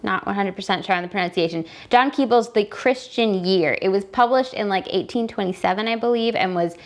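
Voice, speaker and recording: A woman's voice: mid-range voice, a little fast. A woman talking casually and enthusiastically to viewers as a book vlog host, gushing and wandering into asides as she thinks aloud. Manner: enthusiastic, gushing about books. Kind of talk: casual chatty reading vlog.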